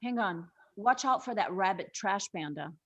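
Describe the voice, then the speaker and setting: Young female role for an actress: impatient granddaughter, direct, questioning, medium pitch, table-read drama. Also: lively intonation, normal speed, a bit bright, lo-fi sound. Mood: weary, wary. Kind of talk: scripted table-read acting.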